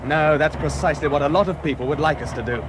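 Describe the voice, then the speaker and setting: Radio drama smuggler played by a man, domineering and terse, clear vehicle noise behind him. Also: a little high, fast talker, lightly acted. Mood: irritated. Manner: barking, commanding. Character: curt, domineering fugitive smuggler driving a lorry.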